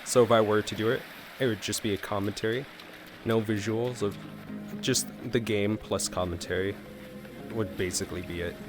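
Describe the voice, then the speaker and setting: A man's voice: lowish voice, steady tone, normal speed. A man doing casual reaction commentary as a streamer, musing aloud in a laid-back way. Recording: game music behind the voice.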